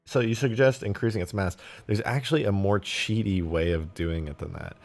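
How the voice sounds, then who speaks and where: A man live-streaming while he mods a game, thinking aloud, reading chat and laughing loudly at times, his voice going a bit hoarse. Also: lowish voice, normal speed. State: losing his voice, slightly hoarse. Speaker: modding streamer. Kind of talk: casual live-stream commentary.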